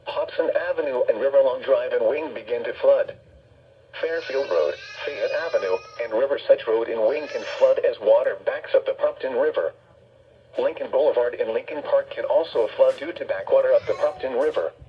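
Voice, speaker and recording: A synthetic male announcer reading a scripted emergency weather bulletin in a flat, formal way through a weather radio speaker. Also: mid-range voice, normal speed. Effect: played through weather radio speaker. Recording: dull radio speaker, faint background noise.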